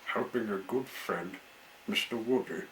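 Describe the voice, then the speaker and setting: A man's voice: on the low side, measured pace, a bit bright, slight background noise, rough recording. A man reading a children's storybook aloud as the storyteller.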